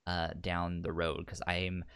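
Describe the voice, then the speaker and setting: A man chatting casually as a podcast co-host, in a halting way that often repeats words and sounds. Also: sits low, completely flat delivery, a bit bright, clear, resonant tone. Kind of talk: casual chat.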